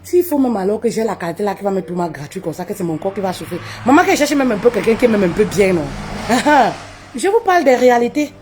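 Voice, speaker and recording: A woman, telling her own story live, her voice jumping high for emphasis and dropping low and quiet. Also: fast talker, noisy background. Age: 30s. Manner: expressive, swoops high for emphasis, drops low and quiet, laughs often. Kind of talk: animated personal storytelling. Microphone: phone on a live stream.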